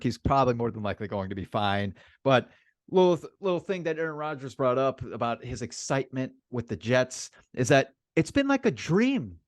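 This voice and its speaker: A man's voice: mid-range voice, no echo, clean studio sound. A man co-hosting a sports podcast in casual, opinionated chat, emphatic and informal, repeating phrases for emphasis.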